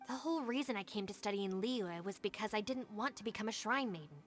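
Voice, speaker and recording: A woman's voice: medium pitch, quick pace, lightly acted. A woman voicing a cool, composed, independent young scholar in a video game. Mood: embarrassed, frank.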